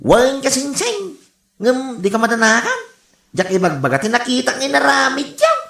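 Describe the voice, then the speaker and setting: A woman's voice: sits high, wildly swooping pitch, moderate pace, gravelly, fairly bright tone, heavily acted. A woman with an Ilocano accent playing a gossipy, teasing, cackling cousin in a comedy radio drama. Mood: gleeful teasing. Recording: roomy, echoing radio drama sound.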